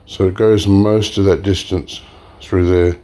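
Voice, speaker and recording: A man hosting a recycling channel, talking casually while taking apart electronics, slightly hoarse and pausing with fillers. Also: low voice. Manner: relaxed, explains while working, frequent fillers and restarts. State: slightly hoarse, clearing throat. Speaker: e-waste recycling channel host. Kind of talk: casual hands-on demonstration.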